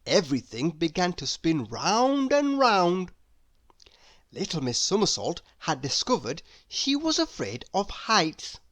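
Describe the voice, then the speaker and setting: Slow, very animated woman storyteller. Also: lowish voice. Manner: addresses young listeners, dramatic pauses. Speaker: storyteller reading aloud. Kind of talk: expressive children's read-aloud.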